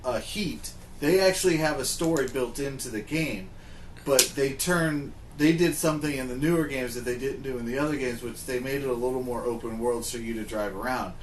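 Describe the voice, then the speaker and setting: A man with a mid-range voice chats casually and haltingly, drifting as he goes. He sits a little away from the mic, with faint noise behind. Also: mid-range voice, distant mic, low-quality recording. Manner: rambling, halting. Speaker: podcast co-host. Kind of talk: casual chat about games and movies.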